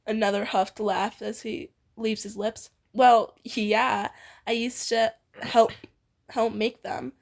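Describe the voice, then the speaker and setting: A woman's voice: fairly high pitch, little pitch movement, takes it slowly, gravelly, a little mellow. A young woman reading fan fiction aloud informally with a friend, in a playful, giggly manner. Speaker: amateur fan fiction reader.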